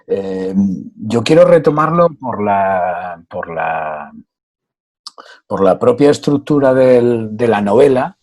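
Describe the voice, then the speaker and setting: A man taking part in a book club discussion over a video call. He speaks hesitantly, searching for words and filling gaps with pauses and fillers. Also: lowish voice, sing-song, a little mellow, no room sound, studio quality. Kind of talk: casual discussion.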